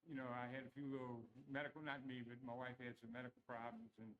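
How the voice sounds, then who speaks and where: A man's voice: medium pitch, little pitch movement, fast, slight background noise. Rambling man, dull lo-fi sound. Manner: rambling, self-correcting. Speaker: host. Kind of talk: casual live interview.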